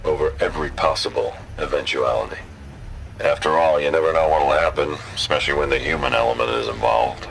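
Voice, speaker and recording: A man playing a powerful, controlling tycoon, gravelly and imperious, delivering a deliberate dramatic monologue. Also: extremely low pitch, poor audio. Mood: cold, commanding. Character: powerful controlling tycoon, cold and self-assured.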